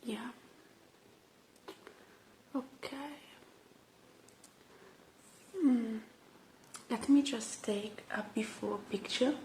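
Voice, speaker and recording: A woman doing an ASMR roleplay as a repair technician, whispering softly with gentle pauses. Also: on the high side, a little hiss or hum, poor audio.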